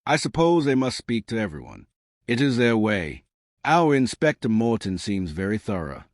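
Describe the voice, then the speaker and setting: A male narrator plays an elderly village lady sleuth in a mystery audiobook. She is polite, soothing and gently inquisitive, with expressive pitch movement and a dry, close sound. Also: normal speed, dry recording. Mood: mildly curious. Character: genteel elderly woman sleuth, mild, soothing, politely probing.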